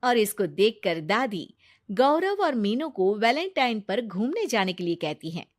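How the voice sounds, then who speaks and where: A woman's voice: medium pitch, big pitch swings, unhurried, no echo, clean studio sound. A woman narrating a family story in a scripted storybook style.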